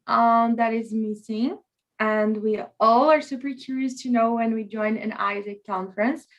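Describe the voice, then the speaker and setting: A woman's voice: high voice, fairly bright tone. A woman hosting an online conference opening, chatty and enthusiastic, drawing the audience in with questions over a video call. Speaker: conference chair and host.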